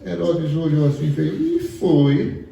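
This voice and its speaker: A man's voice: fairly high pitch, big pitch swings, unhurried, vocal fry, mellow, far from the mic. A man from Pernambuco with a Pernambuco accent, preaching a sermon in a storytelling way and asking the congregation questions. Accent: Pernambuco Portuguese. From Pernambuco, Brazil. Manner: storytelling, asks the audience questions. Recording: echoey hall, voice from afar.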